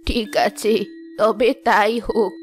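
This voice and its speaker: A woman voicing a kindly, careworn village grandmother in an animated moral story, affectionate and gently scolding. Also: mid-range voice, slow pace, lightly acted. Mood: generous. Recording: music behind the voice.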